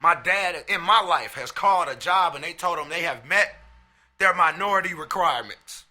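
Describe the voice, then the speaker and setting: A man's voice: a little high, normal speed, pushed and bright. A man hosting a podcast, giving a blunt, impassioned opinion monologue and swearing freely. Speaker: podcast host. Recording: roomy with hip hop music behind.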